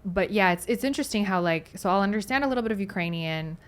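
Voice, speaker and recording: A woman's voice: mid-range voice, lively intonation, fast, clear, resonant tone. A woman from Moldova whose first language is Russian, hosting a podcast in a chatty, rambling way. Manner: chatty, rambling with frequent "like" and "you know". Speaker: podcast host. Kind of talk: casual interview chat.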